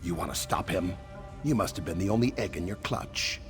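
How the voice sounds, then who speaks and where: Game role: wary reptilian male survivor, lowish voice, lively delivery, dry sarcasm, music underneath. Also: brisk. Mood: sarcastic. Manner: wry, dry sarcasm, clipped questions tagged on statements. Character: wary sardonic male lizard-folk survivor hiding in a ruined temple. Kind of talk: video game character dialogue.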